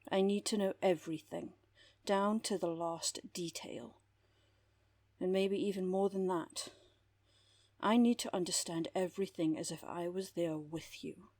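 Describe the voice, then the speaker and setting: A woman playing a composed, wry underworld therapist with a husky voice and measured, formal delivery in an audio drama. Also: on the low side, fairly even intonation, darkish tone, no room sound. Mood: firm, commanding. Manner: measured, dry, formal wording. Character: composed, wry female underworld therapist who makes people disappear. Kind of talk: audio roleplay drama acting.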